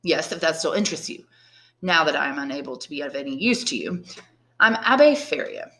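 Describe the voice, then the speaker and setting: Woman doing old prisoner priest from a classic novel, learned, bitter n resigned, really emphatic clear wording. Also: low-pitched, lively intonation, brisk, bright, forceful tone, full character voice. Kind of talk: dramatised audiobook reading of a classic novel.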